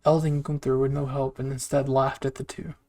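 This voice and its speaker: A man's voice: medium pitch, flat delivery, brisk, darkish tone, faint music behind. A man reading a scripted first-person story aloud as a narrator. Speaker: fan fiction narrator.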